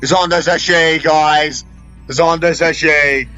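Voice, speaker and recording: A man's voice: fairly high pitch, steady tone, slow, sharp tone, clear, resonant tone, lo-fi sound. Sweary, rambling man over music. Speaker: video creator. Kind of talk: unscripted gameplay commentary.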